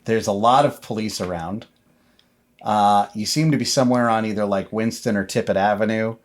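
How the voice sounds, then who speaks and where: A man acting as game master, narrating a tabletop horror game in a conversational, improvised, halting way. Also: fairly low pitch.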